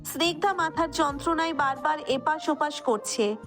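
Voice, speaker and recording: A high-pitched female voice reads a scripted romantic tale as narrator. Faint music runs underneath the narration. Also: moderate pace.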